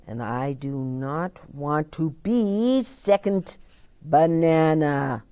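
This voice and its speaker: A woman's voice: deep voice, expressive, very slow, dark tone, dry recording, full character voice. Storybook child, played by a woman: disappointed, sulky, emphatic grumbling, dragging pace. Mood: sulky, glum. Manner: glum, emphatic complaining. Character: sulky, disappointed child stuck with a minor role.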